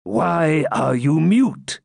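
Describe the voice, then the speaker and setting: A man voicing a severe elderly Grand Master of a holy order, speaking slowly and forcefully as he accuses. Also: fairly high pitch, lively intonation, vocal fry, no echo, studio quality, pitched above the performer's own voice, full character voice. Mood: stern. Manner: slow, forceful, accusing delivery.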